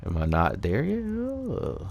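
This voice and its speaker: A man giving casual, unscripted gameplay commentary, haltingly thinking aloud as he explains things. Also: fairly high pitch, wildly swooping pitch, gravelly, a little mellow. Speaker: gamer presenting a character build.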